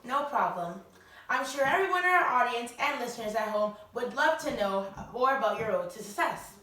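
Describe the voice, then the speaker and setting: A woman's voice: on the high side, expressive, moderate pace, bright, forceful tone. A young woman acting as a chirpy, theatrical 1920s radio show host who uses old-time slang. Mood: upbeat, presenting. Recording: roomy, distant mic, faint noise.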